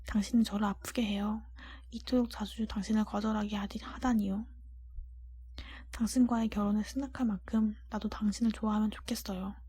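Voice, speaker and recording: A woman voicing a kind-hearted, honest young storybook heroine in a soft breathy whisper, earnest and polite. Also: mid-range voice, fairly even intonation, brisk, close to an everyday voice. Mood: apologetic.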